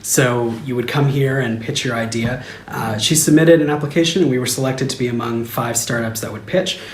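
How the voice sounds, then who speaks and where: An American man telling his career story in a lively, upbeat way. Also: mid-range voice, a little fast, slight background noise. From United States. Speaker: product manager. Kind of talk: casual testimonial. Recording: echoey room, faint ambience.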